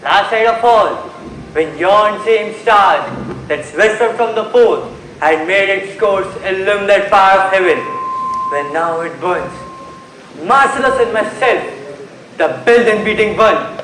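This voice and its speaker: A man's voice: high voice, measured pace, fairly bright tone. A young man playing an awed, earnest night watcher in school stage Shakespeare, declaiming his lines. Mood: solemn. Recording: echoey stage with faint noise.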